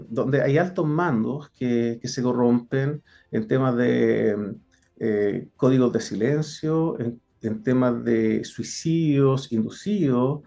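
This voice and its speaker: Gravelly-voiced Chilean man recounting. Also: mid-range voice, warm tone. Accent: Chilean Spanish. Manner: frequent hesitations and self-corrections, earnest. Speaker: guest, former police investigator. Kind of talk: interview, personal account.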